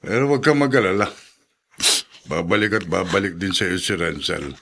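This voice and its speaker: A man's voice: sits low, expressive, moderate pace, bright, forceful tone, slight background noise, full character voice. A man playing an opinionated, reassuring elderly father in a Filipino radio drama.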